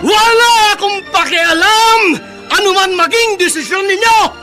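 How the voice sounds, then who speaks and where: Isang lalaking aktor na gumaganap bilang mapang-utos at galit na amang koronel sa dulang panradyo. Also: male voice, very high-pitched, a little slow, over music. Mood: furious, defiant. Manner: commanding, angry, shouting. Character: harsh, domineering father, a proud military colonel. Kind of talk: Filipino radio drama acting.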